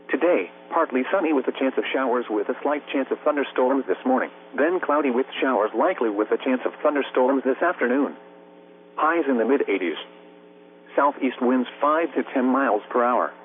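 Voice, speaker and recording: A man's synthetic computer voice reading a scripted weather bulletin as a radio announcer, formal and measured. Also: mid-range voice. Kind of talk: scripted weather bulletin read by text-to-speech. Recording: noisy narrowband weather radio broadcast, humming. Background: radio hum and static.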